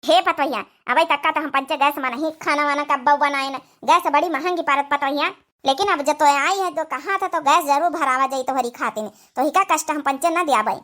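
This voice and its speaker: A woman acts out an eager older village mother-in-law for a cartoon comedy. The voice is extremely high and bright. She chatters and fusses in a rustic Awadhi accent. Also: a little fast. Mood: coaxing. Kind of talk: Hindi-Awadhi cartoon family comedy dialogue.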